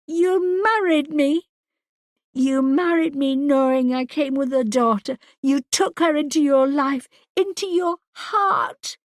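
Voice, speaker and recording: A woman voicing a distraught mother whose daughter is missing, pleading and shouting accusations at her husband. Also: medium pitch, creaky, no room sound, studio quality.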